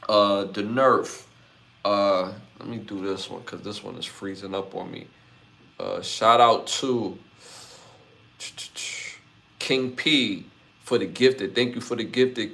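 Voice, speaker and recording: A man in his forties hosting a live podcast, talking in an easygoing, casual way. His voice sits a little low, and he speaks loosely as he thanks viewers one by one. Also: slow pace, vocal fry, pauses often. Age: 40s. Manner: laid-back, shouting out viewers by name. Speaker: podcast host. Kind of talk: casual livestream sign-off chat.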